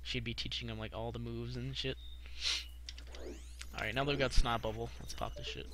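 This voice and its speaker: A man's voice: mid-range voice, level tone, normal speed, vocal fry, noisy background. A young man giving casual, foul-mouthed, slangy live commentary while streaming a video game. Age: young adult.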